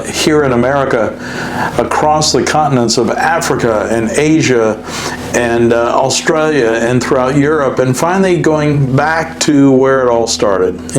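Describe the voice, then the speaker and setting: Man, host, ministry interview, earnest, halting pauses, average pitch. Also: slight background noise. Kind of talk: interview, faith testimony.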